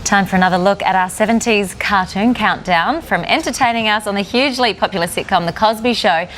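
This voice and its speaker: A woman's voice: mid-range voice, fairly quick, a bit bright, slight background noise. A woman with an Australian accent hosting a morning TV show, reading links and interviewing guests. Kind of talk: lively television presenting and interviewing. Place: TV studio.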